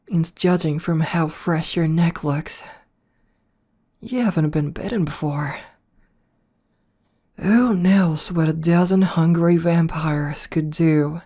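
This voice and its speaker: A woman voicing a playful, predatory noble vampire princess in an ASMR roleplay, speaking softly up close and slipping into whispers. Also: lowish voice. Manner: soft, slow and toying, slipping into whispers.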